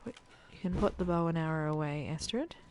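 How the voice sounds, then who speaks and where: A woman streaming a survival game, thinking aloud in a chatty way with soft muttered asides. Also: deep voice, brisk, slight background noise. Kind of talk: casual gameplay commentary.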